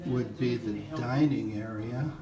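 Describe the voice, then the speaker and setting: A man's voice: medium pitch, a crowd in the background. A middle-aged man thinking aloud as he walks through a house at an open house, filming with a handheld camera. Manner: musing, halting, thinking aloud. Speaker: house-hunting vlogger. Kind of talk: casual walkthrough commentary. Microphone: handheld camera mic. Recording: echoey rooms, background noise.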